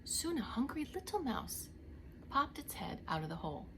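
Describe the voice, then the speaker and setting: A woman's voice: high-pitched, big pitch swings, moderate pace, background noise. A woman reading a story aloud to young children like a preschool teacher, theatrically drawing out the action words and talking to her listeners.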